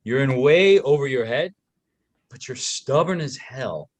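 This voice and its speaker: A man's voice: mid-range voice, lively intonation, unhurried. A man in a screenplay table read, playing a frank, matter-of-fact coworker who supports an investigator. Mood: frank, urging.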